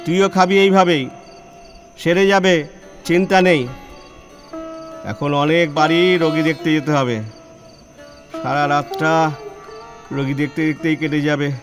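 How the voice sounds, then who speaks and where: A man playing an elderly, lonely and compassionate Bengali scholar in an audio play, speaking in an emotive, halting, theatrical way. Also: fairly high pitch, steady tone, soft background music, natural voice. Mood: brisk, caring. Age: older. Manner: slow, emotive, halting with pauses.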